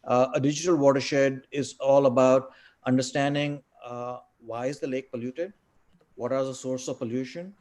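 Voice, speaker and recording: A middle-aged man who grew up in India and is a native Tamil speaker, answering webinar questions on a video call, halting with many fillers and repeated words. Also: medium pitch, steady tone, darkish tone. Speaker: guest speaker.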